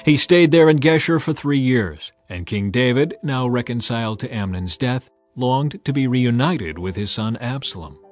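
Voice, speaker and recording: A man reading scripture as an audiobook narrator, in a measured, expressive, dramatized storytelling style. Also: mid-range voice, soft background music.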